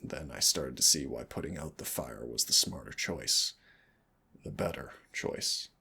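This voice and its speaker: A man playing a brooding, tender, winged demon prince in a romantic audio roleplay, speaking in a theatrical, intimate way with a deep, husky, gravelly voice. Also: expressive, sharp tone, heavily acted. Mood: tender, earnest. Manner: slow, theatrical, teasing endearments and solemn declarations.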